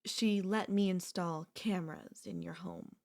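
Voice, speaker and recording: A woman acts out an obsessive older sister-in-law for an ASMR roleplay. Her voice sits a little low for a woman. She coaxes and chats sweetly, with calm, unapologetic menace underneath. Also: unhurried, creaky. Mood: calmly confessional. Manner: coaxing, chatty, calmly unapologetic. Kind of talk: ASMR audio roleplay acting.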